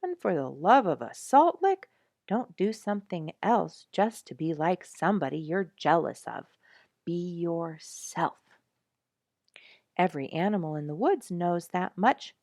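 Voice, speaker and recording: Woman voicing a feisty, folksy porcupine lecturer, swooping pitch. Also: sing-song, unhurried, darkish tone, no echo, studio quality, full character voice. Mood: folksy, urging. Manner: folksy, emphatic, preaching bluntly. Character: feisty young male porcupine lecturer, folksy, blunt, self-assured. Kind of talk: storybook character speech.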